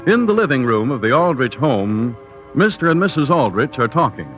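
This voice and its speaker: A man's voice: medium pitch, big pitch swings, moderate pace, fairly bright tone, soft background music. A man announcing a 1930s radio show in a scripted, presentational style, on a dull old recording.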